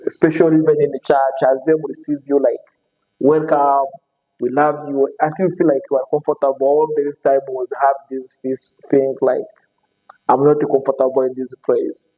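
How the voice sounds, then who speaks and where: A man hosting an interview show, speaking non-native English with frequent fillers and quick "okay" and "yes" replies. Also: mid-range voice, level tone, mellow, big-room sound, lo-fi sound. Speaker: show host. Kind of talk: casual interview.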